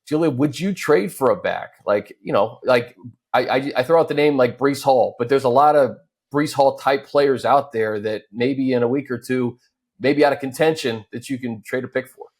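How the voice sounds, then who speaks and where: A man's voice: medium pitch, normal speed. An American man hosting a sports show, chatting casually in loose, conversational phrasing.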